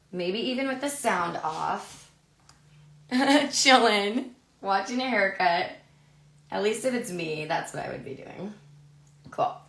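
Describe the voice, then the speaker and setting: A woman in her thirties with a raspy voice, a little hoarse from a lingering cough, teaching a live online haircut in a chatty way, often adding "right?". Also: medium pitch, a bit bright, echoey room. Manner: chatty, filler-heavy, asks "right?" often. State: recovering from a cough, losing her voice. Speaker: hairdressing educator. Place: studio with a grey backdrop, indoors.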